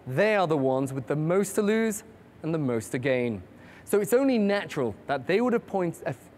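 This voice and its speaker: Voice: medium pitch, a little mellow, a little hiss or hum. Upbeat broadcast host reading promos. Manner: upbeat promotional delivery, listing upcoming segments. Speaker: host of a live-streamed broadcast. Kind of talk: scripted presenter narration.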